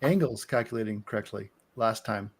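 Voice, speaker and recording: A man in his forties chatting casually on a livestream as he walks through his engineering software, joking and restarting his sentences. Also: fairly low pitch, fairly quick, slightly rough. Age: 40s.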